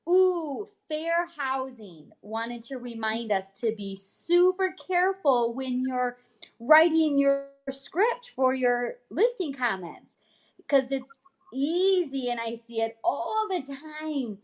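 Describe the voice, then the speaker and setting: High-pitched American woman, chatty. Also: animated, takes it slowly. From United States. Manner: informal, frequent check-in tags like "okay?". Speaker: host, real estate agent reading a newsletter. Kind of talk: casual chatty livestream read-aloud with asides.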